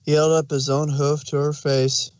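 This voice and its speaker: Story narrator: man, somewhat high-pitched, reading fan fiction prose aloud, dry acoustic. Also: little pitch movement, normal speed, darkish tone, clean tone, no echo.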